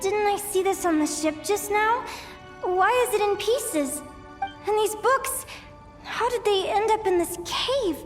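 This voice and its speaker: A woman playing a dreamy, excitable young woman explorer in an anime game, talking expressively to herself. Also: very high voice, unhurried, soft background music. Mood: weary, puzzled. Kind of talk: anime game voice acting with monologue.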